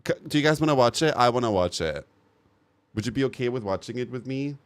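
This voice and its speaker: A man's voice: mid-range voice, animated, quick pace, dry recording. A man streaming live, reacting bluntly to game news and giving opinionated takes. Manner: swears casually. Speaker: livestreamer. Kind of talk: casual live-stream commentary.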